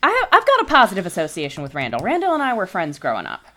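A woman's voice: lowish voice, racing. A young woman playing in a tabletop game, answering in character with rambling "I mean" restarts and giggles. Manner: giggly and animated. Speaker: player.

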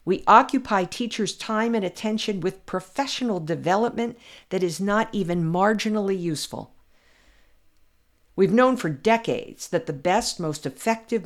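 A woman commentator reading her own essay aloud in a measured, deliberate way with emphatic pauses. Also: mid-range voice. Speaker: podcast commentator.

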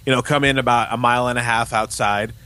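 A man's voice: mid-range voice, steady tone, quick pace, bright, forceful tone, clear, resonant tone. A man co-hosting a casual podcast, reading news stories with wry, joking commentary. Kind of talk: casual comedic news chat.

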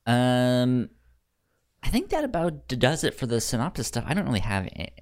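A man's voice: medium pitch, wildly swooping pitch, moderate pace, a little mellow. A man hosting a casual film podcast, who stammers and often repeats the beginnings of words. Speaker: podcast host.

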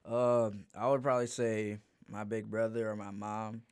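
A teenage boy on a school podcast, speaking in a low, very flat voice. His tone is smooth and clear, and his talk is shy, with many fillers. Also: normal speed. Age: teens. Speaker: student guest. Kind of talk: casual podcast chat.

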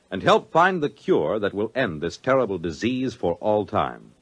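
A man's voice: fairly low pitch, a bit bright, slightly rough. A male announcer delivering a scripted, earnest appeal in solemn 1950s radio style, with dramatic emphasis.

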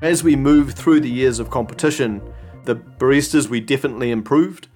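A man from New Zealand speaking in a steady, reflective manner at a medium pitch. Faint music runs underneath his voice. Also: a little fast. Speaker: former barista champion. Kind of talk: recorded interview clip.

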